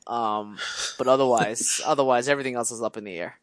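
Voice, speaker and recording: A chatty man in his mid twenties co-hosting a podcast, rambling on with restarts and easy laughs. His voice sits at an ordinary middle pitch. The recording is dry and close, with no room sound. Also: fairly even intonation, brisk, dry recording. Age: 20s. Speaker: podcast co-host. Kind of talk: casual chat.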